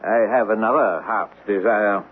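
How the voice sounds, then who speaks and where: An actor playing a greedy, suspicious bully with a gruff, contemptuous manner, in old-time radio drama style. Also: male voice, fairly low pitch, normal speed, narrow, tinny audio, close to an everyday voice. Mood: greedy, wary. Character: greedy, suspicious, bullying man guarding a treasure. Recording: thin, noisy vintage radio recording.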